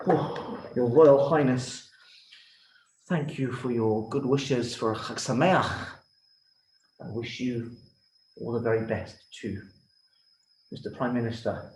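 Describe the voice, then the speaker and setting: Storybook rabbi played by a man: courteous, formal, slow and measured, varied intonation, roomy sound. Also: mid-range voice, a little mellow, a little hiss or hum, close to an everyday voice. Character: respectful, courteous male rabbi in a children's story.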